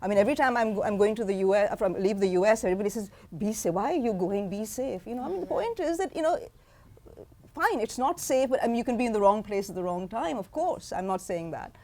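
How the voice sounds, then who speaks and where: A woman's voice: mid-range voice, expressive, fast, dark tone. A woman author and history professor answering audience questions at a university book talk, talking in an emphatic, pointed way. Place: university lecture hall.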